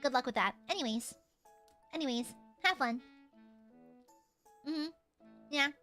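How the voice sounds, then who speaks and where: A woman streamer chatting casually with her viewers, loud and crude, teasing them and swearing a lot, then suddenly dropping into mock-serious mutters. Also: high-pitched, a little slow, faint music behind. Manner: animated, jumps between shouting and mock-serious mutters. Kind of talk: casual live-stream chat.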